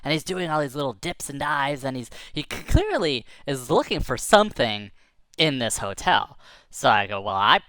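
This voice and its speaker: Male storyteller, animated intonation, loose chatty delivery full of fillers. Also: medium pitch, normal speed, smooth, no room sound. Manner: casual, rambling, lots of fillers. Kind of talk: telling a tall tale.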